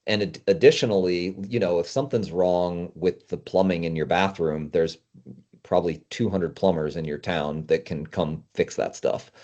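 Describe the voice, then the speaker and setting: A man's voice: low-pitched, moderate pace, darkish tone. A man speaking as a guest consultant in a casual interview, emphatic and explaining through hypothetical quotes. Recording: dull, band-limited audio.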